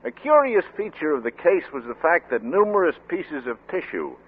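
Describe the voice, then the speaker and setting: An actor playing a sober news reporter, reading in a measured, formal, matter-of-fact vintage radio drama style. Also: male voice, on the high side, sing-song, slightly rough. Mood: sober, factual. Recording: tinny narrow-band old radio recording.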